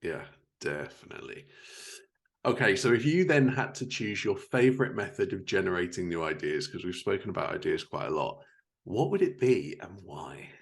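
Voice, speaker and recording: A man with a distinctly creaky, gravelly voice speaks on the low side. He is a podcast host, chatting casually as he interviews. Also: very animated, normal speed.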